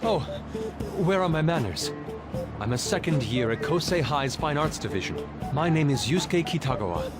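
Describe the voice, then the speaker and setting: A man's voice: fairly high pitch, very animated, normal speed. A man playing an earnest, intense teenage art student with a formal manner in an anime game. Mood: polite. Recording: loud music behind.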